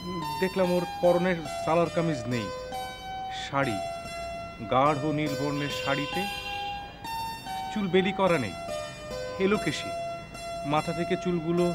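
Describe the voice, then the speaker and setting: An actor playing a shy, lovesick young bodybuilder who speaks hesitantly in a TV drama. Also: male voice, fairly high pitch, over loud music, close to an everyday voice. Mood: dreamy. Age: young adult. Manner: hesitant, halting. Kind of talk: TV drama dialogue.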